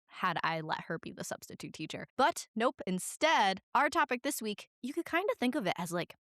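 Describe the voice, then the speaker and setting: A woman's voice: mid-range voice, sing-song, moderate pace, a bit bright, dry recording. Woman in her twenties, podcast host, under the weather, voice on the edge of cracking, rambling and self-deprecating, dry close mic. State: sick, voice cracking. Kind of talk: solo podcast monologue.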